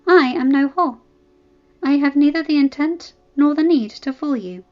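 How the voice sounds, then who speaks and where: A woman's voice: high voice, moderate pace, muffled recording, pitched above the performer's own voice, natural voice. A woman voicing a proud, defiant young noblewoman who answers her rival with cold, cutting retorts.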